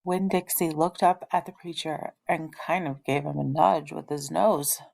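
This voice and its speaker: A low-pitched woman reads a children's story aloud as a teacher would. Her narration is expressive and made for young listeners. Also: unhurried, vocal fry. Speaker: elementary teacher reading aloud.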